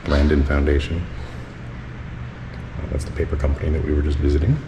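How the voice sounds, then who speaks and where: A man with a deep voice narrating a casual walk-through of a public library, speaking low-key with fillers and keeping his voice down indoors. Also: level tone, fairly quick. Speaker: vlogger filming a public building. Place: outside and inside a public library. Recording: echoey with loud traffic noise.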